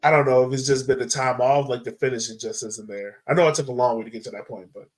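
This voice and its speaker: A man co-hosting a podcast, chatting casually about sport in slangy, animated talk. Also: medium pitch, fast. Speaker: podcast co-host.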